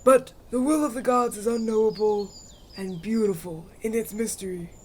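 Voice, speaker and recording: A man's voice: high-pitched, moderate pace, slight background noise, close to an everyday voice. A man playing a solemn, pious village elder in a fantasy audio roleplay, speaking in a formal, ceremonial manner. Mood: proclaiming. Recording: outdoor nature ambience with birdsong behind.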